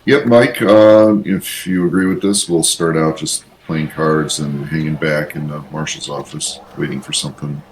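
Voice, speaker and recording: A man's voice: extremely low pitch, steady tone, moderate pace, hardly any pauses, poor audio. A man playing a tabletop roleplaying game on an online group call, chatting casually in a hesitant, laid-back way. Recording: faint background noise.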